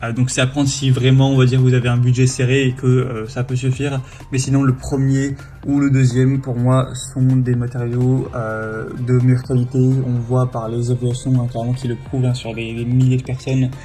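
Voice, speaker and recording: A man's voice: mid-range voice, little pitch movement, moderate pace, few pauses, warm tone. A man presenting a product review in a casual, explanatory, conversational way.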